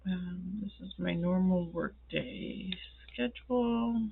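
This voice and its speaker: A woman who is ill and congested, chatting slowly while she fills in her planner at a desk, with many ums and pauses. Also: fairly low pitch, creaky, phone-quality sound, slight background noise. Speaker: planner vlogger. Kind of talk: casual talk while filling in a planner. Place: indoors at a wooden desk.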